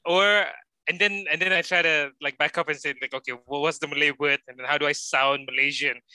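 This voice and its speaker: A man's voice: on the high side, steady tone, fairly quick, sharp tone, clear, resonant tone, dry recording, rough recording. A man in casual chat who tells stories while laughing often. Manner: giggly storyteller, laughs often.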